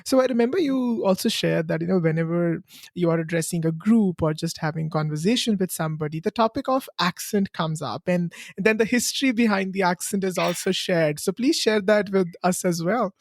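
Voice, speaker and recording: A woman's voice: lowish voice, brisk, dry recording, studio quality. A woman from India, hosting a casual interview and laughing often as she encourages her guest. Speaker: podcast host.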